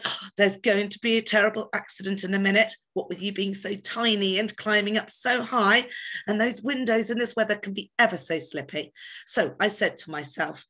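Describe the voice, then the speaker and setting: A woman's voice: mid-range voice, a bit bright, natural voice. A woman voicing a plump, motherly female pigeon who chatters on breathlessly.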